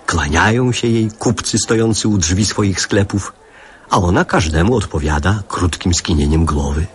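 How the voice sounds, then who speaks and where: Deep husky guy narrating childhood memories for a radio play, wistful, slow but expressive.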